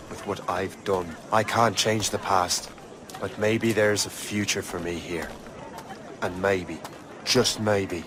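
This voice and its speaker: An actor playing a guarded, brooding young adventurer in a game cutscene, speaking in a blunt, earnest way. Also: male voice, fairly low pitch, noisy background, natural voice. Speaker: game protagonist. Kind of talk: dramatic game cutscene dialogue.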